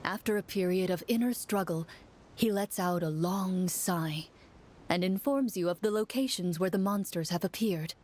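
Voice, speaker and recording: A woman playing a game master who narrates a fantasy adventure in a measured, dramatic way. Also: lowish voice, a little mellow, slight background noise, close to an everyday voice. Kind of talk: scripted storytelling narration.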